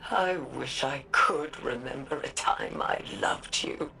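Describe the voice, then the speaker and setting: Resolute female scientist for game drama: bright, intense, deliberate delivery, deeply hurt by betrayal. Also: creaky, a little hiss or hum, full character voice. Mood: wounded, defiant. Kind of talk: dramatic game cutscene acting.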